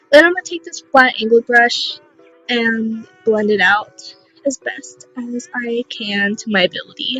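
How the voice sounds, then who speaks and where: A young woman with a raspy voice, casually narrating a makeup tutorial in a rambling, unsure, self-deprecating way. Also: on the high side, pushed and bright, muffled recording.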